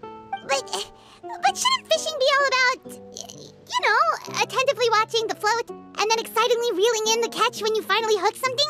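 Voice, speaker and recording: A woman voicing a tiny, excitable, cheeky childlike fairy companion in a video game, with a squeaky, dramatic delivery. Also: normal speed. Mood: indignant. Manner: refers to self in third person, dramatic. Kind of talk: animated video game voice acting. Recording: music playing behind the voice. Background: game music.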